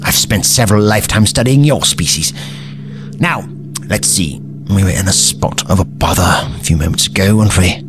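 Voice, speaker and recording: A man's voice: sits low, normal speed, music in the background, natural voice. A man playing a crotchety, absent-minded old alien time traveller in an audio drama, with pompous, fussy, old-fashioned phrasing. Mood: indignant, haughty. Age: older.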